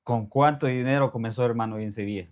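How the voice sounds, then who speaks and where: A man's voice: medium pitch, fast talker. Spanish-speaking man hosting a talk show. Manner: asks long, winding questions. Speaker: host. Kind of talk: conversational interview.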